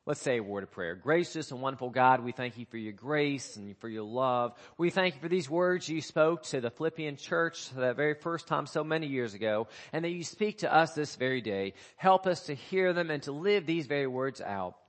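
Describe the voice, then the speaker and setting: Male pastor with a cold-scratchy voice, mid-pitched, preaching in a church; informal, halting delivery full of ums. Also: expressive. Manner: halting, many fillers and restarts. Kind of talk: sermon. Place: church sanctuary.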